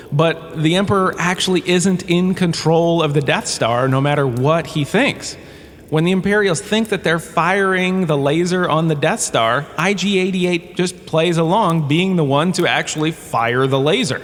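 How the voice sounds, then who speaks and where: A man's voice: fairly high pitch, fairly bright tone, close to an everyday voice. A man playing a glib, self-amused washed-up drama star giving a wry, conversational comedic lecture with theatrical emphasis. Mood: excited, wry. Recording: echoey cave-like reverb, ambient noise behind.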